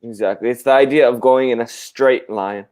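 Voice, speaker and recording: A man from Puerto Rico, co-hosting a casual live stream and explaining things slowly. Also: medium pitch, fairly even intonation, a little mellow. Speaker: co-host.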